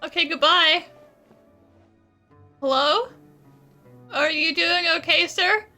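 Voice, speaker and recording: A woman's voice: extremely high pitch, takes it slowly, sharp tone. A woman giving casual commentary while playing through a video game. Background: faint game music.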